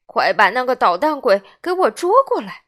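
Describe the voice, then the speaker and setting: A woman voicing a stern, blustering male puppet-theatre boss with a soft heart in a children's storybook. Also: on the high side, sing-song, normal speed. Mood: commanding. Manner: loud, commanding, scolding.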